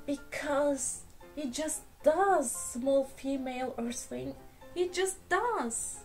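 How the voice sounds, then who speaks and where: A woman's voice: high voice, slow pace, faint music behind, voice pushed up, low-quality recording. A woman voicing a pompous alien empire chief who gets flustered when challenged, in a children's storybook read-aloud. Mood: flustered, exasperated.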